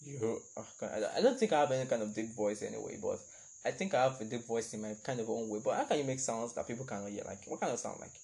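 A man's voice: mid-range voice, animated, fast talker, vocal fry, warm tone. A young man hosting a casual solo podcast, chatty and rambling, laughing at his own remarks. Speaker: podcast host.

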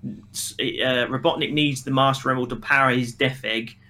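A man's voice: medium pitch, fairly even intonation, normal speed, pushed and bright, slight background noise. A man co-hosting a review podcast, chatting casually and making his points emphatically and argumentatively. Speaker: podcast co-host reviewing a film. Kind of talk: casual opinionated discussion.

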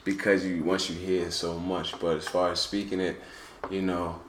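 A young man as a guest in casual chat, telling stories in a relaxed, slangy way. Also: low-pitched, normal speed. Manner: relaxed slangy storytelling, many "you feel what I'm saying". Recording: echoey room with faint noise.

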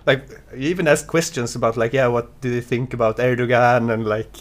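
A man chatting casually and telling a personal story in a halting, rambling way. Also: mid-range voice, steady tone. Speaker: conversation participant telling a story.

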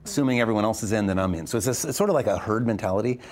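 A man from Arizona, a venture capitalist answering interview questions in a fast, animated way with quick restarts. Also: fairly low pitch. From Arizona, USA.

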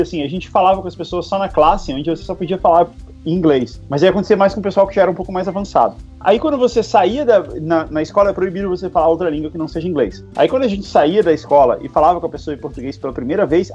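A man's voice: high-pitched, lively intonation, quick pace, a little mellow. A man from Brazil co-hosting a casual podcast, telling stories with frequent hesitations and restarts. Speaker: podcast co-host. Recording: dull lo-fi sound, faint music.

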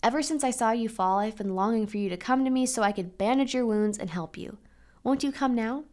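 A woman voicing a gentle, loving father in a storybook, speaking patiently and reassuringly. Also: on the high side, brisk, natural voice. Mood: tender, knowing. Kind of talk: storybook character reading.

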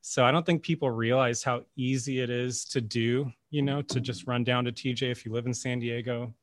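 A man in his forties hosts a relaxed conversation with an artist, talking at a medium pitch. He speaks loosely and chattily, full of "you know" and "kinda". The recording sounds dry, with little room around the voice. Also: moderate pace, no room sound. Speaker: interviewer, curator. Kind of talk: casual conversational interview.

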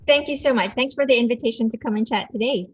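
A woman's voice: a little high, quick pace, lo-fi sound, faint music behind. A woman in her forties from Malaysia, talking reflectively as an interview guest and often repeating words as she gathers her thoughts. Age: 40s. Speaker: podcast guest, doctor and cancer researcher.

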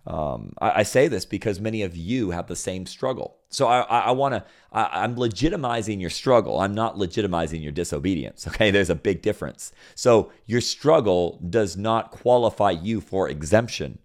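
A man from Senegal preaching a sermon and reading scripture, repeating phrases and building to loud, emphatic peaks. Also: fairly low pitch, expressive, normal speed, few pauses.

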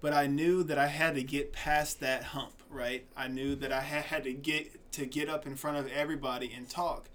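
A man's voice: a little high, flat delivery, moderate pace, hardly any pauses, a bit bright. A man in his twenties answering interview questions earnestly, with fillers and repeated words. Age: 20s. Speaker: guest.

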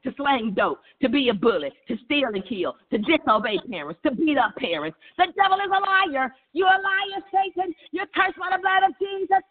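A woman leading fervent charismatic prayer in an impassioned, repetitive, chant-like way over a tinny phone line. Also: mid-range voice. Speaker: prayer leader. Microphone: telephone.

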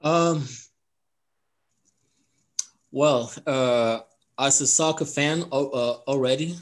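A man from Cameroon, a soccer coach, chats on a podcast at a middle pitch. He wanders through his stories with fillers and restarts, in an easygoing conversational way. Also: extremely slow. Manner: rambling, with many restarts and fillers. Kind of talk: casual podcast chat.

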